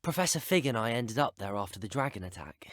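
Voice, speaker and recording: An actor playing an earnest, curious teenage wizard student in a video game, speaking politely with a British accent. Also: male voice, lowish voice, very animated, fast talker, no room sound, studio quality. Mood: earnest, courteous. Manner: polite, well-spoken. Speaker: player character voice.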